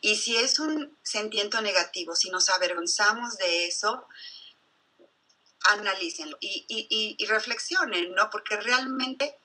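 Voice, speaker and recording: A woman psychologist, answering interview questions thoughtfully and filling pauses with "este" and "¿no?". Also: mid-range voice, pushed and bright, slightly rough, poor audio. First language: Spanish. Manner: thoughtful, many fillers like este and ¿no?. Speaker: psychologist guest.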